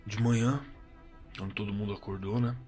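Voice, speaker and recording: An actor playing a shaken Brazilian man giving first-person horror testimony in an audio drama, halting, repeating words and swearing colloquially. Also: on the low side, fairly even intonation, darkish tone, natural voice. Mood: uneasy, haunted. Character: shaken man recounting a terrifying camping encounter. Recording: suspense music under the voice.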